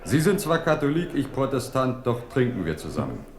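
A man's voice: mid-range voice, very animated, a little fast, lightly acted. Man as a blunt old-campaign soldier, crowd behind. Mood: bluntly fatalistic. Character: battle-worn Protestant soldier, blunt and fatalistic. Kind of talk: 1970s radio play acting. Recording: echoey room with crowd noise.

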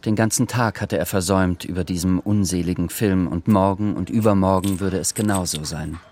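A man's voice: low-pitched, steady tone, brisk, darkish tone. A man reading scripted literary narration for a radio drama. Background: music.

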